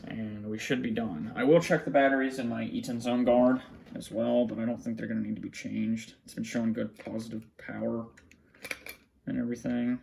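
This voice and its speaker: Creaky-voiced man chatting as he works. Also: mid-range voice, steady tone, a little fast, a little mellow, slight background noise. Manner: muttering to himself as he works. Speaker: hobbyist vlogger showing a radio collection. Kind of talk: casual unscripted hands-on commentary. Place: small indoor room at a cluttered desk.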